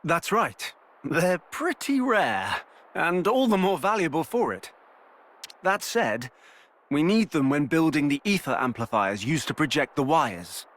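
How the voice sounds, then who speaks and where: Lively, highish-voiced young inventor. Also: male voice, measured pace. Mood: wry, explanatory. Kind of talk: video game cutscene acting.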